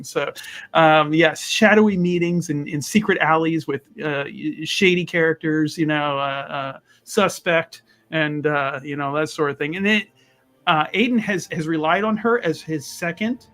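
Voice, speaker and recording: A man improvising his character's story at a tabletop roleplaying game, wordy and halting, often repeating himself. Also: fairly high pitch, a bit bright.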